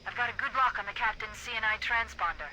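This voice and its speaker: A confident female AI companion briefs a soldier with crisp, precise authority. She sits at a medium pitch, in scripted game style, with clear noise of vehicles and battle behind. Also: quick pace, sharp tone, rough recording. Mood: calm, tactical. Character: brisk, confident female military artificial intelligence companion. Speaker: tactical guide.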